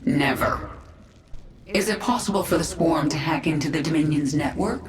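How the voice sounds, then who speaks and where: A woman's voice: low voice, moderate pace, distant mic, natural voice. A woman playing a vengeful, commanding queen of an alien swarm in a video game, speaking tersely and with authority. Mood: demanding. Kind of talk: video game character acting. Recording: roomy echo, noisy game ambience.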